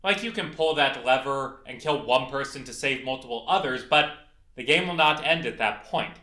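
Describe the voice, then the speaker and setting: Animated man narrating in an echoey room. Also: medium pitch. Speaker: indie game creator presenting a project. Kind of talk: scripted video essay narration.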